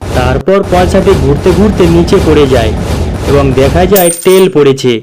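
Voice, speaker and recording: A man's voice: a little high, moderate pace, over music. A man narrating a scripted cartoon moral story as storyteller.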